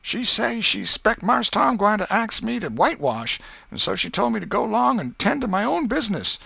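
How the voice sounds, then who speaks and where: A man's voice: high voice, very animated, moderate pace, creaky, pushed and bright, husky, dry recording, voice pushed up, heavily acted. A man voicing a hesitant, obedient young servant boy with a Southern American accent and rural dialect in a storybook. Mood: reluctant, anxious. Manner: rural dialect, anxious refusing.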